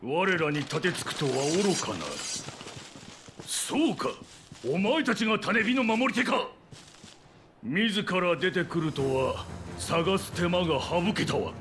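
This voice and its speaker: A man's voice: high voice, sing-song, slow pace, pauses often, noisy background, full character voice. A man playing an arrogant, menacing enemy soldier in a Japanese video game, haughty and sneering in a theatrical way. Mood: contemptuous menace.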